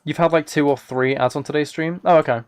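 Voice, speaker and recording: A man's voice: fairly high pitch, steady tone, quick pace, a little hiss or hum. A man live streaming a game, rambling and thinking aloud as he chats casually with viewers. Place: indoors at a computer, keyboard clicks behind.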